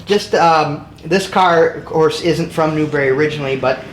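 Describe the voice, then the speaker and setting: Higher-voiced man in echoey, noisy sound. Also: normal speed. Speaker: interview guest, car collector. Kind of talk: casual interview chat.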